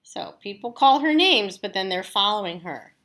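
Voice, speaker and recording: Lady teacher doing a read aloud, really expressive pitch, chatty asides to the kids. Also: moderate pace. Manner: expressive, addresses young listeners directly. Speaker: teacher reading aloud to children. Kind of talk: animated storybook read-aloud with casual asides.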